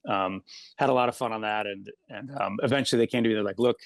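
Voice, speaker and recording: A man's voice: on the low side, fairly even intonation, fast talker, no room sound. A middle-aged man from Maine, a podcast guest chatting casually and animatedly, often acting out quotes in a raised voice. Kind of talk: casual interview chat.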